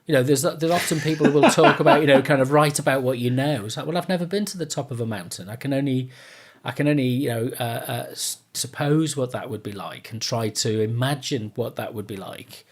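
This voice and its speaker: A man's voice: mid-range voice, fairly quick, hardly any pauses. A man hosting a casual podcast chat, speaking in a rambling, tentative way and often repeating his phrases. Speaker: podcast host.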